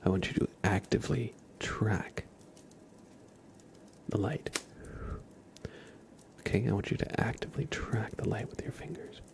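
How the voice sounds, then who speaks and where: Softly whispering, slow-paced man. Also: fairly low pitch. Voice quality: soft, breathy, often dropping into a whisper. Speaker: ASMR live streamer. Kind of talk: soft-spoken ASMR roleplay of a medical exam.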